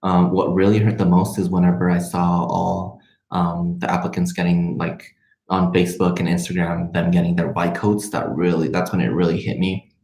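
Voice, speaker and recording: A man's voice: low-pitched, level tone, normal speed, darkish tone. A man in his twenties, a native Spanish speaker and medical student, chatting casually on a livestream and answering questions in a rambling way, trailing off mid-sentence. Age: 20s. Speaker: medical student and vlogger hosting a live question session. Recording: roomy, echoing sound.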